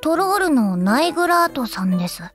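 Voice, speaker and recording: Calm anime girl inspector, high voice, deadpan, formal, faint music. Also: a little fast. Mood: matter-of-fact. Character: calm young girl forensic inspector, matter-of-fact. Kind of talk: anime audio drama acting.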